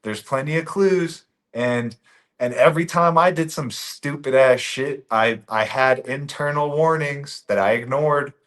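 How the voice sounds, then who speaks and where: A man's voice: fairly high pitch, measured pace, fairly bright tone. A man from Bosnia, a podcast guest talking casually and earnestly, often repeating his key phrases for emphasis.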